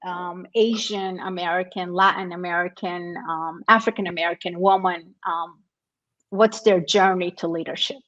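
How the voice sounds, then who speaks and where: A woman's voice: on the low side, fairly even intonation, normal speed, a bit bright, dull audio. A middle-aged woman author from Turkey, talking thoughtfully in an interview with many fillers and easy laughs. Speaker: author and guest. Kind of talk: conversational interview.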